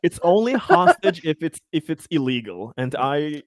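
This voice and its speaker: A man chatting casually after a tabletop game, excitable, stammering and swearing freely. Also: fairly high pitch, moderate pace, no echo. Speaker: tabletop roleplaying game player. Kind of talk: casual post-game chat.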